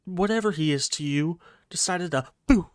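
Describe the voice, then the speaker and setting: A woman's voice: fairly low pitch, normal speed. A woman giving casual game playthrough commentary, sarcastically talking back to the characters and swearing freely. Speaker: gaming video host.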